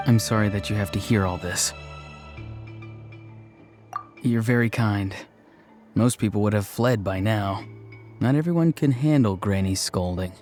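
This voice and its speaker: A man playing a quiet, guilt-ridden young grandson in a dubbed game, reticent, polite and apologetic. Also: lowish voice, unhurried, pauses often, natural voice. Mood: sheepish, subdued. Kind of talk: dubbed video game dialogue. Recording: faint music under the voice.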